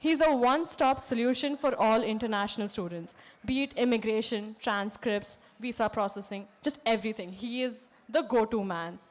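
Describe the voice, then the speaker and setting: A young woman hosting a live student event on stage, her voice hoarse from screaming, introducing the next guest with excited exclamations. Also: a little high, normal speed, hardly any pauses. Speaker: emcee and student association president.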